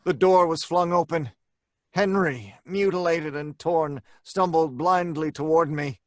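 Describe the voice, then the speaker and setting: An actor playing a tormented, guilt-ridden scientist, earnest and dramatic, in old time radio horror. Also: male voice, a little high, measured pace, no room sound, studio quality, natural voice. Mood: tense narrating. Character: tormented, guilt-ridden scientist telling his tragic story.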